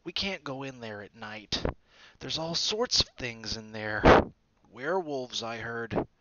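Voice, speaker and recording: A man's voice: slow, muffled recording, background noise, close to an everyday voice. A man voicing a haughty, frightened rich schoolboy with a whiny voice. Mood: whiny, scared. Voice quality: whiny, higher-pitched.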